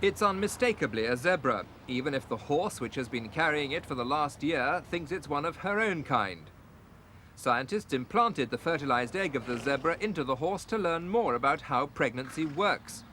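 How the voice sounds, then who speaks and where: Man, TV news reporter, even scripted narration, mid-pitch, slight background noise. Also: normal speed.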